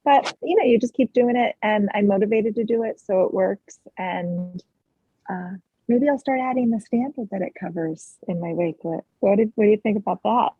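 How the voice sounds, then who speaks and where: A woman with a husky voice casually sharing with colleagues over thin, phone-like call audio. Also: mid-range voice, moderate pace, no echo. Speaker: webinar participant.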